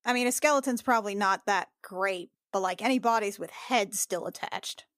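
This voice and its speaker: A woman's voice: medium pitch, normal speed, fairly bright tone, dry recording, clean studio sound. A woman playing a tabletop game, improvising casually in character with a blunt, informal manner.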